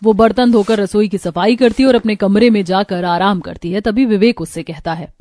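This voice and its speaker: A woman narrating a cartoon moral story in an even storytelling manner. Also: medium pitch, moderate pace. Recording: faint background music.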